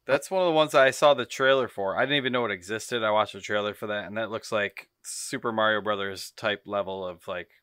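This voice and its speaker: A man's voice: fairly low pitch, a little fast, hardly any pauses, a bit bright, clean tone, dry recording, studio quality. A man co-hosting a podcast, giving blunt opinions in casual conversation. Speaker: podcast co-host. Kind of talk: casual chat.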